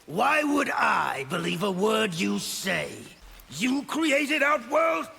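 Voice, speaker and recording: An actor playing a fierce, defiant, zealously loyal soldier in a video game, gravelly and aggressive. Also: male voice, sits high, very animated, slow pace, in a large hall, heavily acted. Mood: accusing. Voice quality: gravelly, forceful. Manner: aggressive, accusing.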